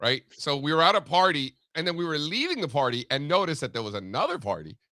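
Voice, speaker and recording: A man's voice: fairly high pitch, sing-song, fast, sharp tone, no room sound, studio quality. A man co-hosting a morning show, telling stories in casual, joking, mock-serious banter.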